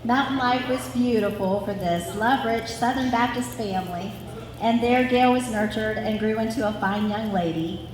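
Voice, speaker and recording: A woman's voice: fairly high pitch, moderate pace, crowd noise. A woman reading a scripted family story aloud to a live audience. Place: large reverberant room at a family gathering. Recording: very echoey hall with clear background noise.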